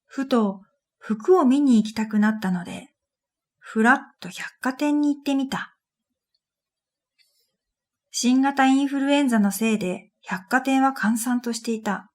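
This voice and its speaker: A woman's voice: fairly high pitch, unhurried, pauses often, darkish tone. A woman narrating a scripted first-person story for a radio drama in an introspective, matter-of-fact manner. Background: music.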